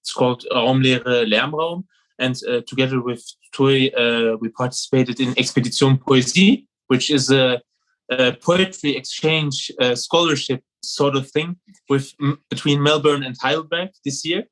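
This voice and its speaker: A German-accented man in his thirties speaks over a video call, pausing often and filling the gaps with uhs and ums. He comes across as hesitant, a poet describing his own work. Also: medium pitch, fairly bright tone, low-quality recording. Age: 30s. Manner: hesitant, many fillers. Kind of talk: casual video call chat, poetry reading.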